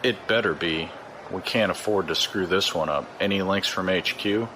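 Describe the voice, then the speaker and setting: Man acting gruff low-voiced corrupt police chief. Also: moderate pace, noisy background, natural voice. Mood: irritated, impatient. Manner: gruff, menacing, impatient. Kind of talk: science fiction audio drama acting.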